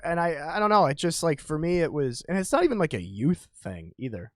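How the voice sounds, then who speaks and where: A man's voice: on the high side, very animated, brisk, dry recording. Man in his thirties hosts a podcast; frank and wandering, dry close sound. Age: 30s. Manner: rambling, candid, self-deprecating. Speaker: podcast host. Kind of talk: casual podcast chat.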